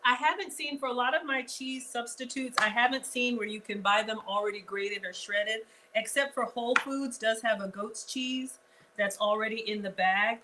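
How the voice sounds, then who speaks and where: A woman's voice: fairly high pitch, fairly even intonation, normal speed, noisy background. A woman hosting a live-streamed cooking class in a home kitchen, chatting straight to her viewers with casual asides. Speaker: cooking show host.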